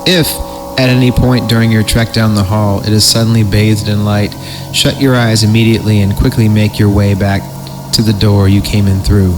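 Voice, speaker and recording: A man with a fairly low voice reads horror in a theatrical, drawn-out storytelling style. He undercuts it with crude comic asides. The recording has clear noise behind him. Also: little pitch movement. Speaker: podcast host and reader. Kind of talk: dramatic horror story reading with joking asides. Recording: clearly noisy amateur recording.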